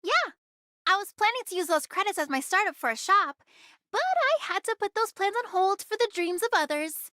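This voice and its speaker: Very high, feisty anime girl smuggler. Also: big pitch swings, normal speed, pushed and bright, no room sound, studio quality, heavily acted. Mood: frank, bossy. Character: feisty, girlish young female treasure-keeping smuggler, proud but caring. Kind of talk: anime video game voice acting.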